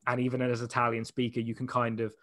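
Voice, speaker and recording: A man's voice: mid-range voice, completely flat delivery, a bit bright, no echo, studio quality. Young man, casual interview guest, easygoing anecdotal storyteller, laughs readily. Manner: chatty storyteller, quick to laugh. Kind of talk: casual chat.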